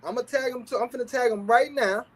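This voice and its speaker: A man with a notably high voice hosts a loose livestream talk show. He talks in easygoing slang and keeps checking in with listeners. His delivery is relaxed and conversational. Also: quick pace. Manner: laid-back slang, repeats "you feel what I'm saying".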